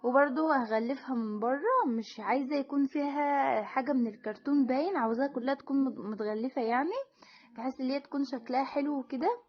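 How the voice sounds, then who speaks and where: A woman's voice: sits high, fast, highs cut off. A woman with an Egyptian Arabic accent, talking casually through a crafting tutorial as the channel's host and explaining each step. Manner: chatty and explanatory, with frequent restarts and fillers.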